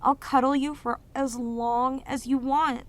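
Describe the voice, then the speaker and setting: A sweet, devoted demoness, acted by a woman for a romantic ASMR roleplay. Her voice sits fairly high and moves very slowly. She speaks tenderly and haltingly, with a clingy, intense devotion. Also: little pitch movement. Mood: tender, pleading. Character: sweet, devoted, clingy yandere demoness, long sealed away.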